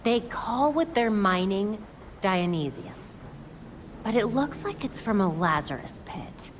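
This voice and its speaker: An actress playing a young female vigilante hero in a video game cutscene, blunt, sharp and sarcastic. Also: medium pitch, lively intonation, measured pace, slight background noise, close to an everyday voice. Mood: suspicious, probing.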